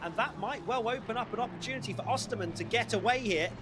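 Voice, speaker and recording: A man commentating a live sim race in a brisk, upbeat way. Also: extremely high pitch, noisy background. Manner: brisk, upbeat, laughs easily.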